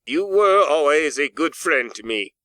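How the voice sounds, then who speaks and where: A man's voice: a little high, expressive, unhurried, bright, forceful tone, dry recording, pitched above the performer's own voice. A man voicing a fearful old miser in a storybook, pleading in a faltering manner. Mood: timid, faltering. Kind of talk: dramatic audiobook character acting.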